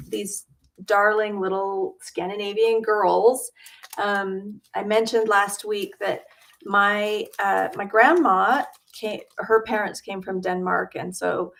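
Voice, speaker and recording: A woman's voice: mid-range voice, fairly even intonation, takes it slowly. A middle-aged woman from Utah hosting a casual livestream from her home studio, chatty and full of ums and uhs. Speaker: quilting channel host.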